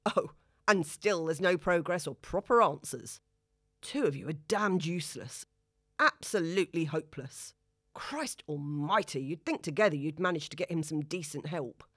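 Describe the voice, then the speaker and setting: A furious, domineering father barks demands and heaps scorn, as a woman reads him aloud. The voice is low-set and loud, close and dry with no room sound. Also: animated, normal speed, no room sound. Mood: scornful. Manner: shouting, bullying, demanding. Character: irate domineering middle-aged father.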